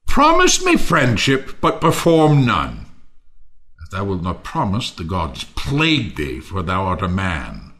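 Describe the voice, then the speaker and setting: A man's voice: low voice, huge pitch swings, fairly bright tone, exaggerated voice. An actor playing a bitter man who hates mankind in a Shakespearean audio drama, declaiming scornfully. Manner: declamatory, scornful, drawn-out phrasing with sudden swoops.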